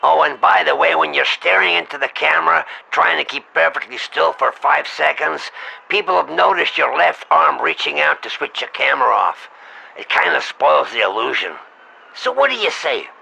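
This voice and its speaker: A man playing a pushy agent in a comic phone call, coaxing and crude as he pitches an idea. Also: expressive, normal speed, gravelly, bright, forceful tone, slightly rough. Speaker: prank caller posing as an agent.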